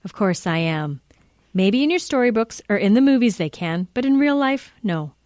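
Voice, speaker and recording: A woman playing a caring, practical mother with a gentle, reassuring manner in a children's audio drama. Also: medium pitch, expressive, moderate pace, no room sound, clean studio sound, natural voice. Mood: teasing, reassuring.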